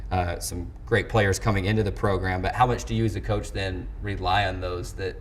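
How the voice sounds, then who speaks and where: Low-voiced man hosting a sports interview. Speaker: sports show host interviewing a coach. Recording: clearly noisy background ambience.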